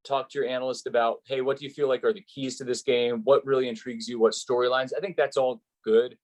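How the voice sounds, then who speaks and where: A man's voice: medium pitch, little pitch movement, fast. A man who works as a sports play-by-play announcer, speaking conversationally in an interview and explaining his advice in an earnest, emphatic way.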